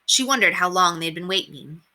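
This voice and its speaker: A woman narrating a children's story aloud in an expressive read-aloud style. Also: on the low side, brisk.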